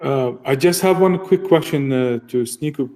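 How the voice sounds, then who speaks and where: A man's voice: mid-range voice, moderate pace, dark tone. A Palestinian Canadian man calling in to a live chat who asks politely and pleadingly, with broken grammar. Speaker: caller. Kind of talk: casual live audio chat.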